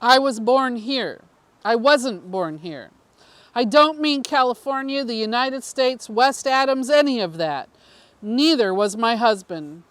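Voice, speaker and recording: A woman voicing a secretive, persuasive male politician in an audiobook, with measured, rhetorical phrasing. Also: on the high side, expressive, no echo. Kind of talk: audiobook character dialogue.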